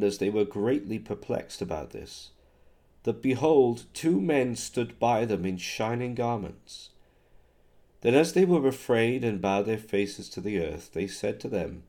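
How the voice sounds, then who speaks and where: A man preaching with a somewhat low, mellow voice that still sounds hoarse from a recent cold. He talks in a halting way, full of "uh"s, and slows down when he prays or reads scripture aloud. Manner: halting, many fillers, reads scripture slowly. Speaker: pastor. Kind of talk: sermon with scripture reading.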